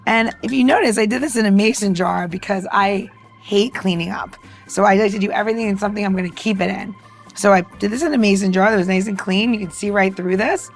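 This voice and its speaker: A woman of mid pitch co-hosts a podcast and demonstrates a simple recipe. She talks in a chatty, easygoing way and explains each step. Faint music sits under her voice. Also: fast. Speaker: podcast co-host and cocktail maker. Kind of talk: casual chat and demonstration.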